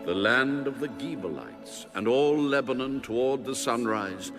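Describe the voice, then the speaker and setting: An actor playing God in a dramatic audio Bible, speaking in a solemn, stately, commanding manner. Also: male voice, medium pitch, lively intonation, unhurried. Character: God, male, solemn, commanding, ancient authority. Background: music.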